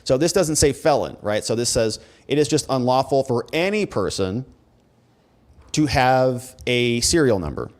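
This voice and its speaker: A man's voice: mid-range voice, lively intonation, normal speed. Casual American man hosting a livestream. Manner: informal, conversational, rhetorical questions. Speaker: host, legal commentator. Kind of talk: casual livestream commentary, reading viewer messages.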